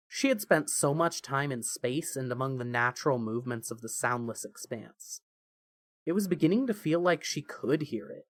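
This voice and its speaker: A man narrates a scripted story in a mid-pitched voice. The recording is close and dry, with very little room sound. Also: dry recording.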